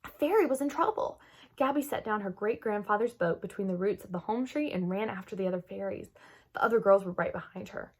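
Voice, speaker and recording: A woman reading a children's story aloud expressively, with dramatic emphasis and hushed moments. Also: medium pitch. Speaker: children's book read-aloud host.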